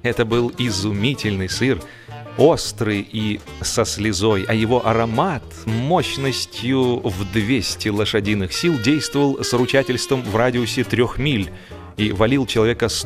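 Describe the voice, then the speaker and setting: Male audio-drama narrator, medium pitch, expressive and drily grandiose comic storytelling, deliberate pauses, musical backing. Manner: theatrical, expressive, mock-serious comic delivery with deliberate pauses. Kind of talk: dramatized humorous literary narration.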